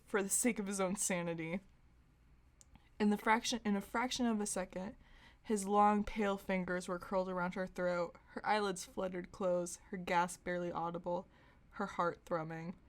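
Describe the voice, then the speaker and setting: Female podcast host, mid-range pitch, comic read-aloud of fan fiction, giggle-prone, chatty asides between passages. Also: steady tone, moderate pace. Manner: giggly, breaks into laughter while reading.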